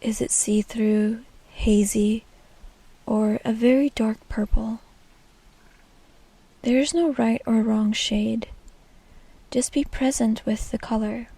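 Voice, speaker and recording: A woman leading a guided meditation in a soft, breathy, half-whispered and soothing delivery. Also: a little high, fairly even intonation, slow, pauses often, dry recording.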